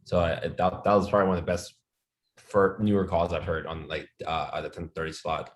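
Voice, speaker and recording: A man's voice: low-pitched, flat delivery, a little fast. A man talking casually as a colleague in a sales team meeting.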